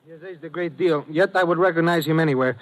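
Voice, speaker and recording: An actor playing a courteous, formal older police captain with a Latin American Spanish accent in 1950s radio drama. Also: male voice, fairly high pitch, fast, close to an everyday voice, rough recording. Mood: grave, courteous.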